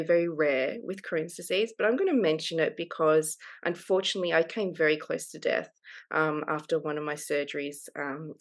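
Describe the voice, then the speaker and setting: Australian woman with a lower voice. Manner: candid, open, laughs easily. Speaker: guest author. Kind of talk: casual interview conversation.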